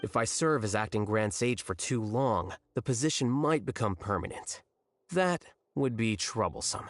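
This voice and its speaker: Deadpan scholar, lowish voice, dry and calm, cutting remarks. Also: male voice, moderate pace, no echo, soft background music. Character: aloof, dryly sarcastic male scholar. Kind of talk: video game dialogue.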